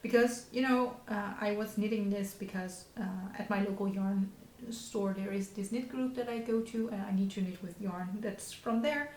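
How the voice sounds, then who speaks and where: A woman in her thirties speaks English with a Dutch accent, not her first language. She chats casually about her knitting, stopping often for fillers and restarts and laughing softly now and then. The room gives her voice a clear echo, with a faint hiss underneath. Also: medium pitch, steady tone, moderate pace, few pauses. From Netherlands. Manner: hesitant, many fillers and restarts, frequent small laughs. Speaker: knitting podcast host. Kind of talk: casual show-and-tell chat.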